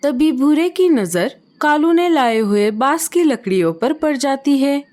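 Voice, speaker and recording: Woman narrator, high voice, scripted storybook narration for kids. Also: animated, measured pace, a little mellow.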